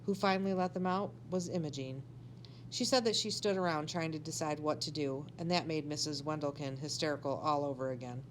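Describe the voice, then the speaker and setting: Lower-voiced woman reading a story, background noise. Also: a little fast, poor audio. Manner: animated, acts out dialogue. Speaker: teacher reading a children's book aloud. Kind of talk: expressive read-aloud storytelling.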